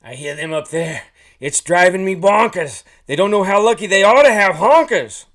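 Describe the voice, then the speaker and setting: Storybook goose, played by a man: Jersey accent, loud, exasperated, pitched up high. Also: expressive, normal speed. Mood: anguished. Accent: New Jersey. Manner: loud, exasperated, emphatic delivery. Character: brave, excitable, indignant talking goose with broken honk.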